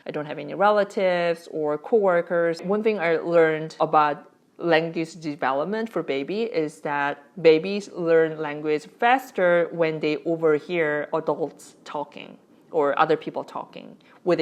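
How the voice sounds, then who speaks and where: A woman in her thirties with a Korean accent, whose first language is Korean, chatting candidly about life as a new mother in a casual vlog. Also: deep voice. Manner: chatty and candid, with fillers and small slips.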